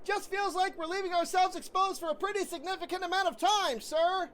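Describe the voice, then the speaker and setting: A woman's voice: very high-pitched, a little fast, a bit bright. A woman playing an eager, dutiful junior bosun who questions orders with military formality in a radio comedy. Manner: dutiful, crisp military address. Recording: clear background noise.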